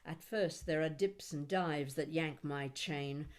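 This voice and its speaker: Slow-speaking woman reciting poetry. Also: low-pitched. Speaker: poet reading own work. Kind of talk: expressive spoken-word poetry recital.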